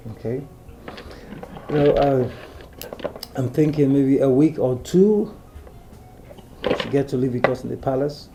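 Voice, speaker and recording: A man's voice: medium pitch, measured pace, pauses often, music in the background, natural voice, low-quality recording. A man with a Nigerian accent playing an easygoing young crown prince in a naturalistic film drama. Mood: amused, earnest. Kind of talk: naturalistic Nollywood film acting.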